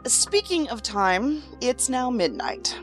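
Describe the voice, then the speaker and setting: An actress playing a weary, dry-witted federal agent in an audio drama. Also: female voice, on the high side, very animated, slow pace, over music. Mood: matter-of-fact.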